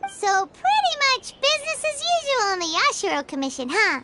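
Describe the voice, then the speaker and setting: A woman's voice: extremely high pitch, sing-song, a little fast, noisy background. A woman voicing a small, chirpy, childlike fairy girl companion in a video game, eager and cute. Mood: chatty. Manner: cute, refers to herself in third person.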